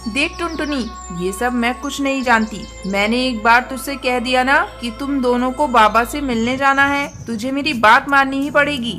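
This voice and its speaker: A woman's voice: sits high, moderate pace, few pauses, bright, forceful tone, faint music behind. A woman voicing a bossy older mother-in-law bird in a cartoon, commanding and insistent. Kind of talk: Hindi cartoon story dialogue.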